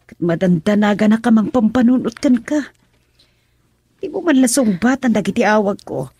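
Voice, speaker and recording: A woman with an Ilocano accent playing a worried middle-aged mother of grown children in a radio drama, with a husky voice. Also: fairly high pitch, expressive, normal speed, mellow, no echo. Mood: concerned, scolding.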